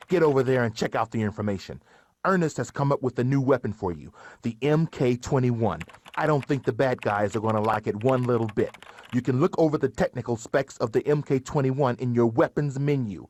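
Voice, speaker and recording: An actor playing a male police chief who gives brisk, commanding mission briefings. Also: medium pitch, darkish tone, slight background noise, natural voice.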